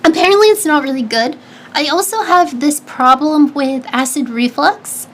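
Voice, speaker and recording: A woman with a notably high voice chats casually with her stream audience, handing out advice. She sounds a little nasal and stuffy, and she circles back and restarts her sentences as she goes. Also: normal speed, fairly bright tone, a little hiss or hum. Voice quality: high-pitched; slightly nasal. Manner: chatty, advice-giving, lots of restarts and fillers. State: stuffy nose. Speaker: streamer answering chat. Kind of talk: casual chat.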